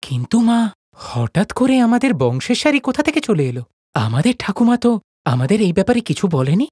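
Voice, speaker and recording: A woman's voice: medium pitch, big pitch swings, a little fast, a little mellow, husky, no echo, studio quality. A woman voicing a questioning, challenging grown-up son in a cartoon family story.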